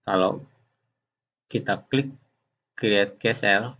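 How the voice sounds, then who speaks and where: A man gives an unscripted software demonstration, explaining step by step in a halting way. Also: fairly low pitch, muffled recording. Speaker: software tutorial presenter. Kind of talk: unscripted screen demonstration narration.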